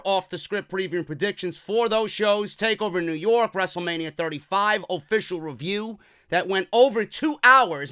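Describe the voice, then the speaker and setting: A man hosting a wrestling news show from his office, talking in an energetic, casual way and swearing now and then. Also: high-pitched, dry recording, clean studio sound. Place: office at a desk.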